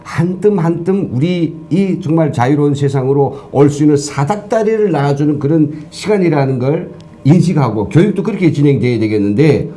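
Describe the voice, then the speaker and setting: A man with a somewhat high pitch for a man speaks as a talk show guest. His voice is mellow. He explains points earnestly, in a lecturing way. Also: expressive, fairly quick. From North Korea. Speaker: guest, former professor.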